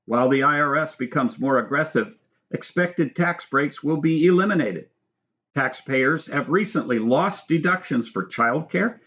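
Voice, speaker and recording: A man reading his own book aloud as scripted narration, in a measured, formal manner. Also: a little high, highs cut off. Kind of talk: scripted narration with brief spoken asides.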